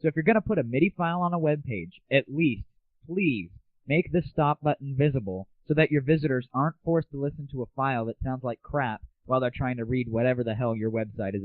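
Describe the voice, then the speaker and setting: A man's voice: mid-range voice, no room sound. A man hosting a comedy audio show in a casual, crude, sarcastic rant. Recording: dry, muffled narrow-band audio.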